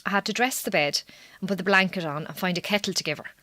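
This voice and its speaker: An actress playing a weary, guarded Irish farmer's wife in a radio drama, strained and terse, with an Irish accent. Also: female voice, mid-range voice, fast talker, bright, forceful tone, no room sound. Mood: tired, strained. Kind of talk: naturalistic radio drama dialogue.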